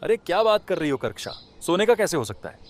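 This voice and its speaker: Man acting animated kind-hearted villager. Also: high voice, quick pace. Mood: astonished. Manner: exclamatory, rustic colloquial. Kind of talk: animated moral story acting.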